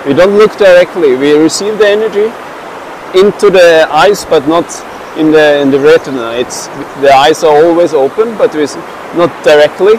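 A man in his thirties with a Swedish accent, speaking to the camera outdoors in a slow, halting way with many fillers and restarts. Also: on the high side. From Sweden. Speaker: traveler and meditation practitioner. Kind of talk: casual spoken account to camera. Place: outdoors by a mountain river. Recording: rushing river noise behind.

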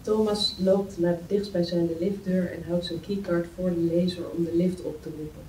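Female table-read narrator, mellow delivery of scene descriptions, distant mic with faint noise. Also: on the low side, level tone, normal speed, reverberant. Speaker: script reader of stage directions. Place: meeting room, indoors. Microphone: far camera.

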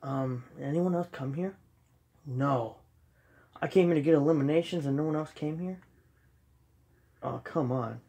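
A man's voice: on the high side, measured pace, gravelly, long pauses, dark tone, slightly rough. A young man with a slight cold, casually commenting while he plays a game at home, rambling and self-deprecating with many ums. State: sniffly with a cold. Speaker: gaming channel host. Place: dark room at home, filming a TV screen.

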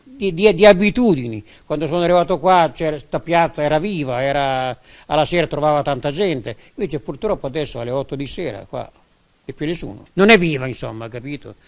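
Older man, gravelly, halting. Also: a little high, lively intonation. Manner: halting, repeats words. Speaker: shopkeeper. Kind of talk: street vox pop answer. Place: small clothing shop. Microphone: handheld interview mic.

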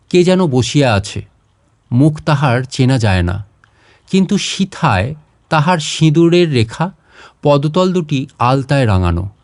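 A man narrates literary prose with dramatic care. His voice sits a little high, and he moves through phrases in a measured, expressive way with pauses between them. The recording is close and dry. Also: dry recording. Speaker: storyteller reading literary fiction.

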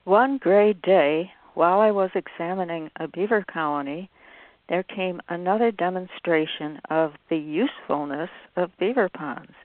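A woman's voice: on the low side, normal speed, dry recording. A woman, a wildlife biologist, reading literary narration aloud. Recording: tinny phone-like audio.

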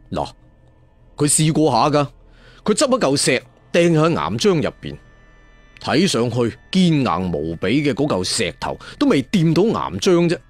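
A man's voice: mid-range voice, no echo. A man telling a dramatic story in a colloquial, traditional storyteller's manner, putting questions to his listeners. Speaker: audiobook storyteller.

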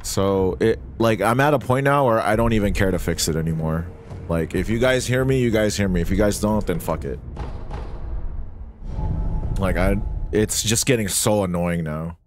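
A man's voice: fairly low pitch, moderate pace. A young man streaming a game live from his room, chatting casually with viewers and cursing when frustrated. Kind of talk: casual live gameplay commentary. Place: room at home, indoors. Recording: game music loud behind the voice. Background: loud game music.

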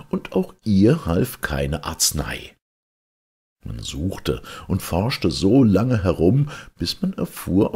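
A man reading a fairy tale aloud as an expressive storyteller. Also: a bass voice, husky.

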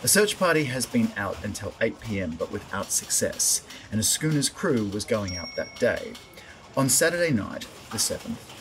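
A man formally reading out an old newspaper report. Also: lowish voice. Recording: clearly noisy background ambience.

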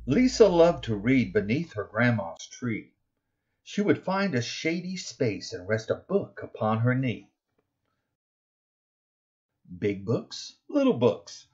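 A middle-aged man reading his own children's picture book aloud, expressively and deliberately, stressing key words in the rhymes. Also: medium pitch, a little mellow, highs cut off. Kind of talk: expressive children's storybook narration.

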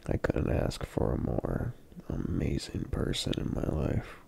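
A young man speaking slowly and softly in an ASMR boyfriend roleplay, murmuring sleepily and humming between lines. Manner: sleepy, soft, murmuring with many hums.

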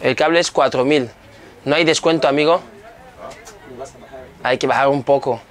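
A man's voice: mid-range voice, normal speed. A young man casually narrating a travel vlog to camera, upbeat and chatty, calling his viewers family as he walks around a beach resort and a busy market. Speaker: vlogger. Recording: music bed underneath.

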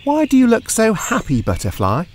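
Haughty boy prince with swooping pitch, acted out. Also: huge pitch swings, moderate pace, slight background noise. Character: arrogant young boy prince, curious and boastful. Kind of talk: children's cartoon story acting.

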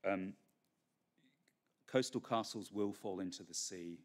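A middle-aged man giving a keynote lecture from a podium on a conference stage, measured and full of fillers and restarts. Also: fairly low pitch. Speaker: keynote speaker. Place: large conference hall stage. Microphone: podium microphone.

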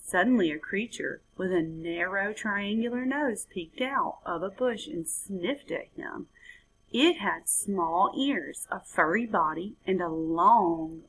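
A woman's voice: mid-range voice, pushed and bright, husky, low-quality recording. A woman in her thirties reading a children's story aloud expressively, recorded at home on a computer mic. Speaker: storybook reader. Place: indoor room at home. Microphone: webcam or laptop mic.

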